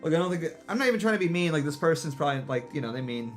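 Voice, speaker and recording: A man's voice: a little high, lively intonation, rapid-fire, faint music behind. A man streamer chatting casually with his viewers in a rambling, defiant way, with lots of "like" and restarts.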